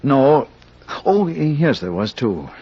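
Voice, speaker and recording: An actor playing an earnest young suitor in a radio murder mystery, speaking in an urgent, colloquial way. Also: male voice, medium pitch, very animated, slow pace, mellow, breathy edge, full character voice. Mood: uneasy, matter-of-fact. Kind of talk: 1940s radio acting. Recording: muffled old radio recording with faint noise.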